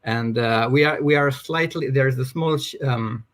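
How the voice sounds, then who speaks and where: A man's voice: medium pitch, unhurried. A man, informally moderating a live-streamed talk as its host.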